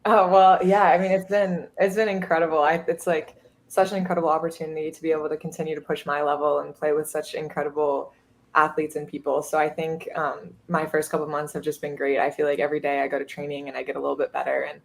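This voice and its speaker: Upbeat young woman with a gravelly edge. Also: low voice, level tone, quick pace, a little hiss or hum. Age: 20s. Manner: upbeat, many fillers like "um" and "like". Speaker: guest, college goalkeeper. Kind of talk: casual podcast interview.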